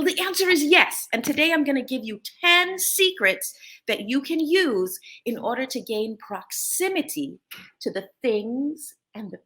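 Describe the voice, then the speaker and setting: Middle-aged American woman, motivational host; high, lively delivery, laughs easily. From United States. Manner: animated, laughs often. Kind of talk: motivational talk.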